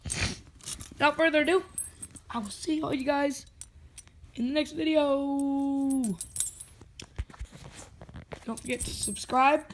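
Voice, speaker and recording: A young vlogger with a hoarse, worn voice, chatting and joking casually while unboxing. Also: medium pitch. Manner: chatty, jokey, self-talking. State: hoarse, lost voice.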